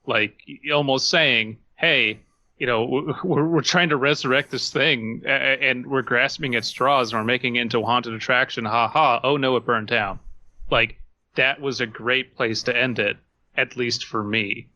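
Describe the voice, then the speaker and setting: A man co-hosting a podcast in casual comedic banter, giving his opinions with some excited stammering. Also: medium pitch, moderate pace, no echo. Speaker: podcast co-host.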